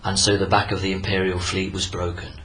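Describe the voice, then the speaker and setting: A man's voice: low-pitched, completely flat delivery, brisk, noisy background. A man narrating a scripted war story in a solemn, portentous, dramatic manner. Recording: loud music under the voice.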